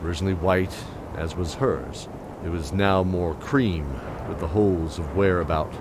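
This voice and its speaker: An actor playing a weary, guilt-ridden knight captain, narrating and speaking in a scripted fantasy audio drama. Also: male voice, sits low, moderate pace, noisy background, close to an everyday voice. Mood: solemn, reflective. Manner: solemn, descriptive, dramatic delivery.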